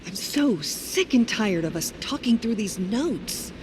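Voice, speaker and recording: A woman's voice: a little high, animated, unhurried, a little mellow. A woman voicing a weary, fed-up wife in a dramatic horror game, drawing out her words. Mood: exasperated. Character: weary, exasperated wife leaving spoken notes for her husband. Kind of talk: dramatic video game voice acting. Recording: noisy recording with background ambience.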